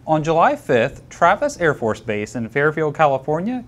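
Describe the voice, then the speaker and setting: A man co-hosting a hobby news show, reading scripted segments with a touch of dry humor in banter. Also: a little high. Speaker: show co-host.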